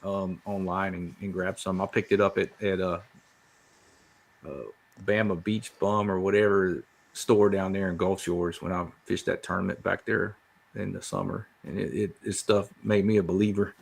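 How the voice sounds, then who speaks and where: A man's voice: low voice, level tone, normal speed, gravelly. A man chatting casually as a guest on a podcast. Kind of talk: casual chat.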